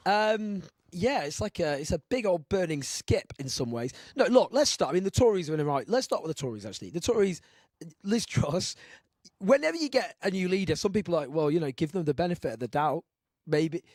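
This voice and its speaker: A man in his thirties hosting a live chat show, with a husky voice and a rambling, self-mocking way of talking. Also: sits high, sing-song, a little fast, a little mellow, no room sound. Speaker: host.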